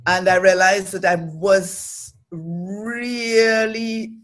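A woman's voice: mid-range voice, steady tone, very slow, fairly bright tone. A middle-aged woman, speaking thoughtfully and earnestly as the guest in an interview. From Trinidad. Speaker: interview guest, academic and development professional. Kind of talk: reflective interview conversation.

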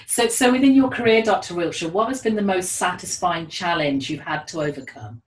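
A woman's voice: fairly low pitch, brisk. A woman hosting an interview in a courteous, affirming manner, often repeating her phrases as she talks. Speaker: interviewer, host. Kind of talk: conversational interview. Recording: echoey room, distant from mic.

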